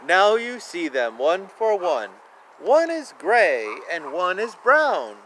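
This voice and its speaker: A man reading children's books aloud outdoors, with a drawn-out, sing-song delivery and casual asides. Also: high-pitched, sing-song, fairly bright tone, low-quality recording. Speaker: children's book reader. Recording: outdoor recording with clear ambient noise. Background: outdoor ambience.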